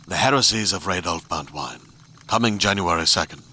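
A man announcing a dark podcast promo with a grave, ominous delivery. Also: deep voice, animated, normal speed, breathy edge.

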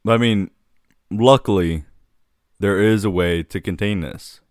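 A man's voice: on the low side, unhurried, darkish tone, no room sound, studio quality. A man co-hosting a podcast in a casual, laid-back way, often calling his co-host dude.

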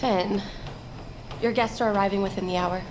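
An actress playing Hannah, a composed, weary but dutiful assistant, in naturalistic audio drama style. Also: female voice, medium pitch, steady tone, moderate pace, a little mellow, a little hiss or hum, lightly acted. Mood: tense, guarded.

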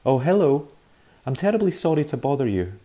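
A man's voice: medium pitch, big pitch swings, a little fast, warm tone, voice pushed up, lightly acted. A man voicing a timid young boy who speaks with anxious, exaggerated politeness. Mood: nervously polite. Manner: overly polite, nervous.

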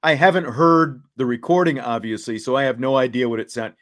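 A man with a mid-range voice hosts a sports talk show. He reads and comments deliberately, setting out each point step by step. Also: medium pitch, fairly even intonation, fairly bright tone. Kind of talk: sports talk, reading quotes aloud and commenting.

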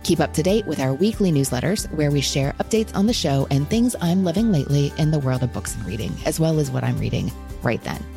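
A woman's voice: low voice, expressive, brisk, gravelly, hardly any pauses, dark tone, soft background music. A woman hosting a book podcast, chatting with guests and addressing her listeners. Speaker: podcast host. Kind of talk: warm book-podcast hosting, interview and sign-off.

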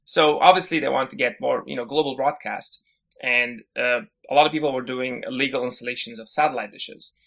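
A man's voice: medium pitch, a little fast, vocal fry, a bit bright. A man from Syria, a guest on a podcast, telling stories casually with lots of fillers and restarts. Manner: chatty, many fillers and restarts. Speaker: podcast guest, head of engineering. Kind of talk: casual interview storytelling.

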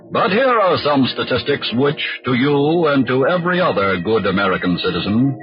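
A man narrating a radio crime drama in a scripted 1940s style, with measured, emphatic phrasing. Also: medium pitch. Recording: dull old radio broadcast recording.

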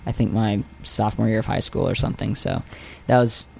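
A man's voice: lowish voice, fairly even intonation, brisk, vocal fry, a little mellow, a little hiss or hum. A young man, a singer and guest on a radio show, talking casually in a rambling way with lots of fillers and self-deprecating jokes. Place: radio studio.

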